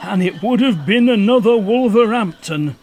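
A man's voice: high voice, expressive, darkish tone. A man playing a nostalgic, contrary football supporter in a radio play, talking in a ruminative way. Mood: reminiscing. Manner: slow, ruminative. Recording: faint train noise behind.

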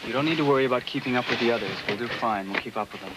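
A man's voice: rapid-fire, close to an everyday voice. An actor playing a stubborn, moody American teenage boy in a 1950s film. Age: young adult. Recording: noisy old film soundtrack, engine rumble.

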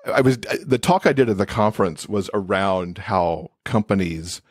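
A man's voice: low voice, lively intonation, moderate pace, dry recording, studio quality. A man speaking as an expert podcast guest, explaining things in a conversational interview style.

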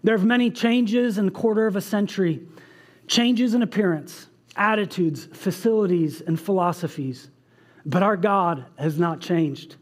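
A man's voice: high-pitched, moderate pace. A man in his thirties, a pastor preaching on a church stage, earnestly reading aloud with asides. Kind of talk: sermon. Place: church stage with band behind.

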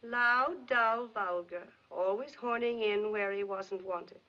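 An actress playing a frank, probing, worldly wife in a 1950s film drama, speaking in a deliberate, articulate, pointed way. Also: female voice, medium pitch, bright, forceful tone. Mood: bitter. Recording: dull, lo-fi 1950s film soundtrack.

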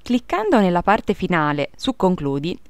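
A woman's voice: fairly low pitch, sing-song, moderate pace, dry recording. A woman reading a scripted instructional tutorial as narrator in a formal, procedural manner.

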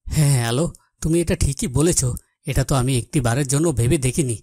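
A man's voice: medium pitch, a little fast, dark tone, dry recording, natural voice. A man playing a kindly, agreeable king in a fairytale cartoon. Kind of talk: Bengali fairytale cartoon dubbing.

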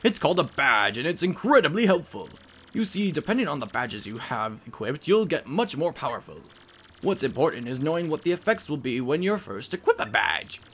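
A man voicing a shriveled old Goomba professor, an excitable, fussy scholar who lectures and exclaims, in a game fan dub. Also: a little high, a little fast, slightly rough, exaggerated voice. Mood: instructive.

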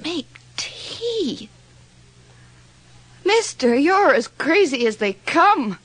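An actress playing a distraught, blinded young woman in a radio horror drama, halting and bitterly disbelieving. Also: sits high, big pitch swings, a bit bright, full character voice. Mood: bitter sarcasm. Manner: halting, breathless stammer turning to bitter sarcasm.

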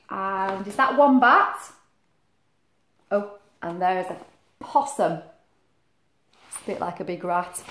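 A middle-aged woman reads a children's picture book in a fairly high, highly animated voice. She takes her time and breaks off for chatty questions to her young listeners. The room adds a clear echo. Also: slight background noise. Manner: expressive, slow and deliberate in reading, questioning the children. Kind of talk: animated children's story read-aloud with chatty asides. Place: small living room, indoors. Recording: roomy echo of a living room.

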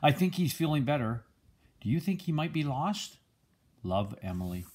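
An older man with a husky voice reads a children's picture book aloud in a gentle, measured storytelling manner. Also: fairly high pitch, very animated. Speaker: storybook reader, a grandfather.

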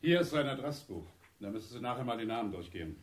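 An actor playing a matter-of-fact, suspicious police investigator in a radio drama. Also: male voice, medium pitch, lively intonation, fast talker, natural voice.

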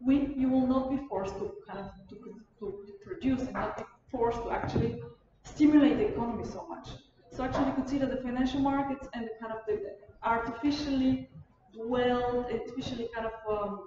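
A young woman from Bulgaria giving an informal lecture in a halting, rephrasing, digressive way. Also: fairly high pitch. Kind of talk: informal lecture and discussion of questions. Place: university lecture room. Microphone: far camera. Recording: distant mic, muffled, echoey room, loud noise.

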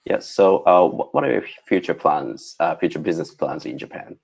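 A man in his thirties interviewing a guest over a video call on a headset, asking questions haltingly. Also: sits low, gravelly, a little mellow, breathy edge. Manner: hesitant, halting. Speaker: interviewer, host.

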